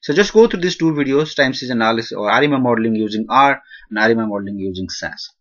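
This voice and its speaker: A man's voice: mid-range voice, animated, quick pace, fairly bright tone, highs cut off. Hesitant Indian-accented male instructor. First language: not English. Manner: hesitant, many "uh" fillers and restarts. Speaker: analytics instructor. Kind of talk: recorded Q&A lecture over slides.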